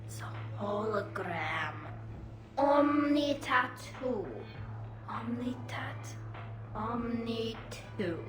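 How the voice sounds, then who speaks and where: A woman playing a giggly, drunk alien woman in a suit in a video game, rambling with slurred, drawn-out words. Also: on the high side, expressive, background noise. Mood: tipsy, boastful. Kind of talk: video game character acting. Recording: roomy, game music behind.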